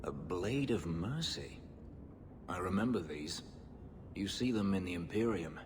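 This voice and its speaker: A man voicing a brooding, bitter warrior in a video game, speaking in a measured, grave, dryly sardonic way. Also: low-pitched, lively intonation, long reverb tail, noisy background. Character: brooding, bitter male warrior from a slave-keeping empire.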